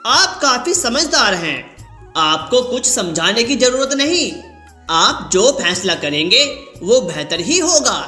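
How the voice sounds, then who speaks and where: A man's voice: very high-pitched, very animated, takes it slowly, pushed and bright, echoey room, soft background music, pitched above the performer's own voice, heavily acted. A man voicing a cautious royal minister in a cartoon, hesitant, respectful and eager to defer. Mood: hesitant, deferential.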